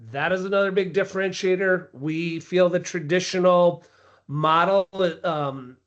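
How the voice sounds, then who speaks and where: A man's voice: sits high, dull audio. A man with a scratchy voice from a cold, presenting casually on a business webinar with frequent ums. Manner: conversational, with frequent ums and restarts. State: hoarse from a cold. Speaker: sales presenter. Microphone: video call.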